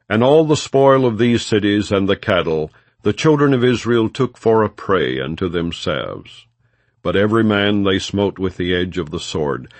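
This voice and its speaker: A man with a low voice narrates scripture as an audiobook. His phrasing is measured and solemn, yet his intonation moves widely within a plain, non-dramatized reading. The sound is dry and free of echo.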